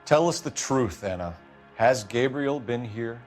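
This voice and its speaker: A man's voice: medium pitch, expressive, close to an everyday voice. A man playing a hard-nosed police detective in a crime game, pressing his point sternly. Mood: threatening. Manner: stern, pressuring, deliberate emphasis. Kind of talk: dramatic game acting. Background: background music.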